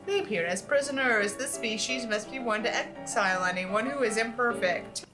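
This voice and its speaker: A woman's voice: a little high, moderate pace, over music, poor audio. A woman reading a book aloud as an online creator, with an irreverent, exasperated manner and casual, sweary asides.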